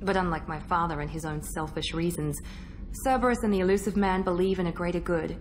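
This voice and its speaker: An actress playing a guarded, proud engineered operative in a video game, speaking in a measured, self-assured way over background music. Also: female voice, fairly low pitch, fairly even intonation, slight background noise, natural voice. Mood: guarded, reflective. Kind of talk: video game dialogue acting.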